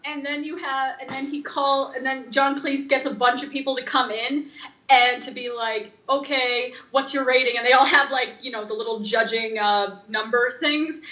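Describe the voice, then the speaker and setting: A woman's voice: sits high, sharp tone, roomy sound, lo-fi sound. A woman chatting casually as a podcast co-host. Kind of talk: casual chat.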